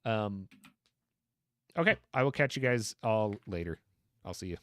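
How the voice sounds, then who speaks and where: A man's voice: on the low side, expressive, unhurried, fairly bright tone, no echo. A man hosting a livestream voice chat, casually giving friendly, encouraging feedback on builds. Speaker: streamer host.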